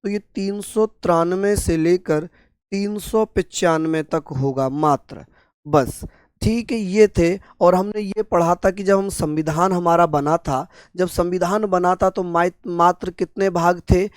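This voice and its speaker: A man with a sore, hoarse throat teaching an exam-prep class, repeating each term and answering his own questions. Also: high voice, unhurried, dry recording, studio quality. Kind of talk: online exam-prep lecture.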